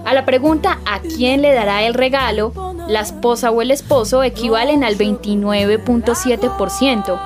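A woman's voice: a little high, moderate pace. A woman with a Colombian accent reading scripted narration as a radio presenter. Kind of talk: scripted magazine-show narration. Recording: music bed behind the voice.

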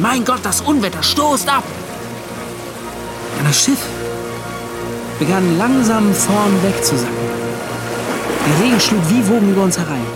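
A man acting in a German radio play as a haunted, defiant young ship's officer who tells of a shipwreck in an agitated, dramatic way. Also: high-pitched. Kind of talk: German radio play acting, intense first-person narration.

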